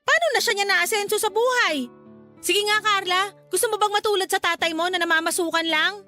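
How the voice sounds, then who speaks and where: A woman's voice: very high-pitched, a little fast, pushed and bright. A woman playing a stern, nagging, hardworking mother who scolds in exasperation, in a Filipino radio drama. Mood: preachy. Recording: music bed behind the voice.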